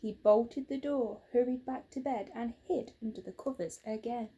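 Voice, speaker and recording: Lady reading a kids storybook, slow and expressive, soft husky mellow voice. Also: a little high, lo-fi sound. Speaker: storybook reader. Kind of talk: scripted children's story narration.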